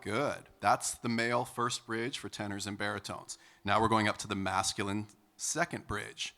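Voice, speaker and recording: A man with a baritone speaking voice, teaching a singing lesson and counting students in with encouragement. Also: level tone, moderate pace, bright, forceful tone. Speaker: vocal coach. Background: piano.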